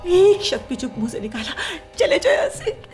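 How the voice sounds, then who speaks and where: An actress in a Hindi radio play playing a distressed, disbelieving young woman, halting and emotional. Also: high-pitched, huge pitch swings, a little mellow, soft background music. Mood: horrified.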